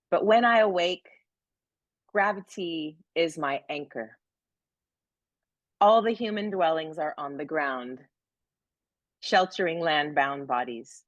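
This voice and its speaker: A woman hosting an online poetry event over a video call, gushing and encouraging, reading poems slowly. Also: lowish voice, no echo, highs cut off. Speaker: event host and poet.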